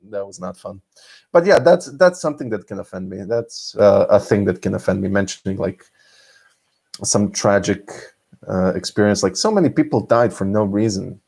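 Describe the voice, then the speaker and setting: A young man from Serbia with a Serbian accent, telling stories in a casual solo vlog, restarting often and swinging his pitch up and down. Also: vocal fry. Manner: animated, frequent restarts and laughs, pitch swinging widely. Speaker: vlogger host. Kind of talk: casual monologue storytelling.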